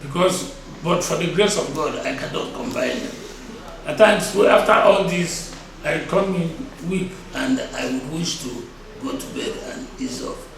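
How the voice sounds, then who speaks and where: An older man around seventy with a Nigerian Igbo accent and a high-pitched voice. He gives interview answers deliberately and emphatically, often repeating a phrase. The recording is very echoey, with a crowd behind him. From Nigeria (Igboland). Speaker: traditional title chief being interviewed. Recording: echoey, distant mic, crowd noise behind.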